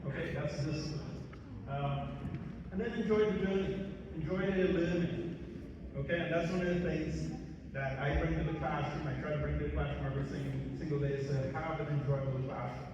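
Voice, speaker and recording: A male teacher giving an open-house talk to parents and students, often checking in with quick "okay?" questions and quizzing the room. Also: on the high side, fairly even intonation, muffled recording, noisy background. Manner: keeps checking in with "okay?" and "all right?", quizzes the audience. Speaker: high school teacher presenting to parents and students. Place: school hall or large room. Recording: echoey hall, recorded from far off.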